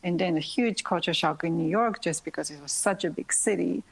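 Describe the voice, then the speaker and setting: A Japanese-born woman ballet dancer in her thirties, speaking English as a second language in an interview, soft-spoken with frequent pauses and light laughter. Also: lowish voice, vocal fry, slightly rough, low-quality recording. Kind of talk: interview, answering questions.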